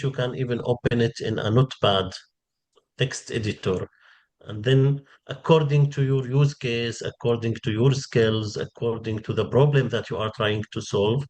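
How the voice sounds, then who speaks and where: A man in his forties with a Syrian Arabic accent, explaining as an interview guest and repeating words as he searches for phrasing. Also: fairly low pitch. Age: 40s. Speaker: interview guest, engineering expert.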